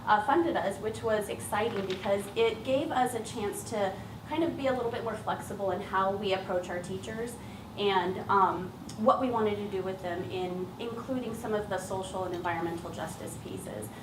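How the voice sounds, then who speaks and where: A woman's voice: mid-range voice, moderate pace. Woman presenting in an echoey, noisy room. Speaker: teacher trainer giving a presentation. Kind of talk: public presentation, semi-prepared talk. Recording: roomy, distant mic, clearly noisy background.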